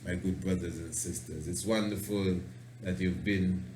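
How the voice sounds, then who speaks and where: Passionate preacher-host in a roomy echo. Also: male voice, sits low, steady tone, normal speed, a little mellow, a little hiss or hum. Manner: passionate, rambling, fond of wordplay. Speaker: radio show host and priest. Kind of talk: radio talk monologue with preaching.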